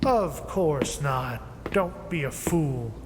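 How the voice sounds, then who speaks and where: A man's voice: a little high, expressive, slow. An actor playing a learned, irritable inventor in his late fifties in an audio drama, speaking formally and condescendingly. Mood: irritated, indignant. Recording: noisy, echoing with long reverb.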